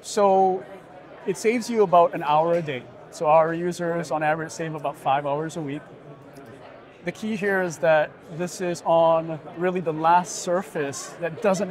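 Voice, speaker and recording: A middle-aged man giving an assured, agreeable and well-structured conversational pitch as an interview guest. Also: fairly even intonation, normal speed. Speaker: startup founder being interviewed. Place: busy indoor expo hall booth.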